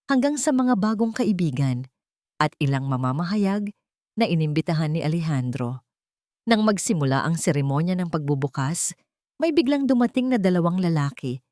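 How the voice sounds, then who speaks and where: Low-voiced woman narrating a story. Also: darkish tone, no room sound, clean studio sound. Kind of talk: scripted dramatic story narration.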